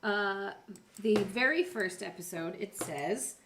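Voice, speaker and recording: A woman's voice: medium pitch, slow, noisy background. A woman chatting casually as a podcast co-host, indoors with her co-hosts. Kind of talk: casual chat. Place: indoors, in one room with the co-hosts.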